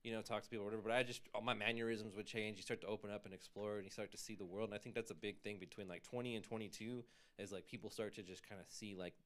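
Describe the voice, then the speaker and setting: A man in his twenties, co-hosting a casual podcast with friends and talking in a relaxed, reflective way. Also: on the low side, rapid-fire. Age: 20s. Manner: relaxed, reflective, laughs loudly. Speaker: podcast co-host. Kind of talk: casual chat among friends.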